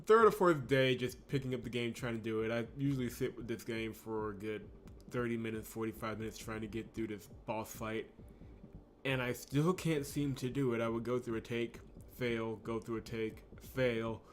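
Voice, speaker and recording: A man's voice: medium pitch, moderate pace, slight background noise. A man hosting a video game playthrough, talking casually in an exasperated, grumbling manner. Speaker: host of a visual novel playthrough channel. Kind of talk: casual gameplay commentary.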